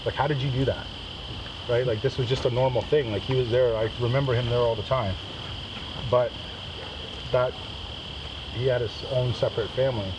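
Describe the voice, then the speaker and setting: A man from Trinidad shares a personal testimony with a group in an informal, conversational manner, checking in with listeners as he goes. His voice sits at a medium pitch. It is picked up from a distance outdoors, under very loud insect and ambient noise. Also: steady tone, normal speed, long pauses. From Trinidad, moved to Canada as a child. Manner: conversational, often checks in with "right?" and "okay?". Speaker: speaker giving a personal testimony. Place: outdoors at a men's canoe trip gathering.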